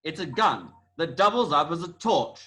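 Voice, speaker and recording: A man's voice: fairly high pitch, big pitch swings, normal speed, pushed and bright. A man playing a bossy, clever detective in a comic amateur radio play, with a grand, declamatory delivery. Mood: confiding.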